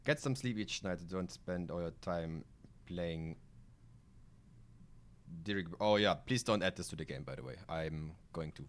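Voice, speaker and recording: A man with a German accent and a very low voice talks through a video game on a live stream. A native German speaker, he moves between reading game text aloud and loose, sweary asides in a casual, rambling way. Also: unhurried, pauses often.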